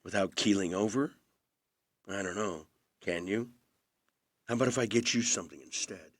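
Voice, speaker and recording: Audio drama role: older male film star, played by an actor, low voice, charming but evasive, understated and gently wry, close dry sound. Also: normal speed, no echo, natural voice. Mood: attentive, dry. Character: aging movie-star brother, charming, evasive, gently attentive.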